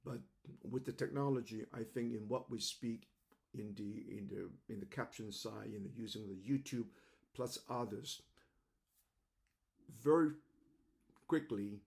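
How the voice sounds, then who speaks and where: An older man from Macau, speaking English as an in a halting, reflective monologue, often repeating words, recorded on a webcam at home. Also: lowish voice, creaky, darkish tone. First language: Cantonese. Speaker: host. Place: home room indoors.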